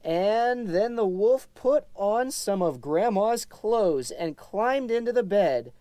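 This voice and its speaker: Prim puppet dog storyteller, voiced by a woman: unhurried, expressive, easily exasperated, children's show. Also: mid-range voice, no room sound. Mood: determined storytelling.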